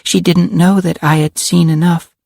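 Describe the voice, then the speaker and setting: A man's voice: sits high, little pitch movement, moderate pace, vocal fry, a little mellow, no echo, pitched above the performer's own voice. A man voicing a solemn little girl in an audiobook, speaking in a grave, pleading manner. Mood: grave, regretful. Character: solemn little girl with an alien controller inside. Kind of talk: audiobook character acting.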